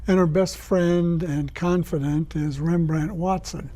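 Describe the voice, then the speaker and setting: Mellow, higher-pitched man explaining in an interview. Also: steady tone, measured pace. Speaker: guest, writer and director of radio plays.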